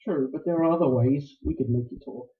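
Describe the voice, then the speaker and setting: Man acting as Gutman, a high-pitched, pompous crime boss. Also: close to an everyday voice. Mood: smooth menace. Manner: pompous, florid, deliberate politeness. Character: suave, courtly, ruthless older criminal mastermind. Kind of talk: staged radio play acting, 1940s noir. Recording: roomy, dull live stage recording.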